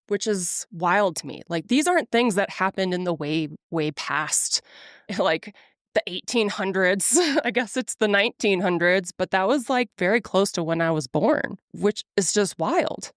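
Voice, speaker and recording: A woman's voice: on the low side, animated, moderate pace, no echo, clean studio sound. A woman in her early forties hosting a podcast, talking in an earnest, chatty way and laughing easily. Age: 40s. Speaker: podcast host. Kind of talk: casual podcast chat.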